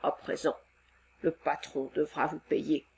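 A woman voicing a huge, gentle male forest monster in a read-aloud storybook, speaking slowly in a deep, hushed voice. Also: level tone, creaky, husky, exaggerated voice. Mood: grave.

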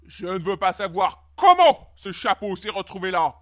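A woman's voice: mid-range voice, big pitch swings, moderate pace. Lady doing an angry pompous king for a kids story, bossy, outraged, voice jumping all over. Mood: stern, irritated. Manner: imperious, indignant, exclaiming demands. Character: haughty, furious old-world storybook king. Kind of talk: storybook character acting.

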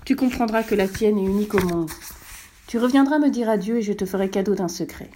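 Wise fox, patient, calm, measured, mellow, woman narrating. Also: mid-range voice, slight background noise, natural voice.

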